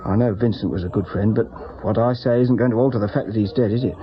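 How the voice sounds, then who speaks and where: An actor playing a loyal, guarded friend who distrusts the police, in a radio drama, speaking firmly. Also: male voice, fairly low pitch, quick pace, slight background noise, close to an everyday voice. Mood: guarded, bitter. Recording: dull sound over chattering background crowd.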